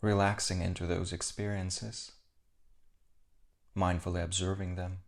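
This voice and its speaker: A man with a low voice guides a meditation. He speaks calmly and in a measured way, setting out short phrases with pauses between them, as a meditation teacher does. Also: gravelly.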